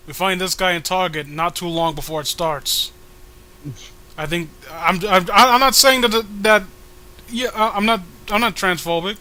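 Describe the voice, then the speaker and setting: A man with a high, sharp-edged voice riffs casually as he co-hosts a read-along. He rants in exasperation, swearing and halting as he goes. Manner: exasperated ranting, profane, halting. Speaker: co-host of a comic read-along. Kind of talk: casual comedic riffing and commentary.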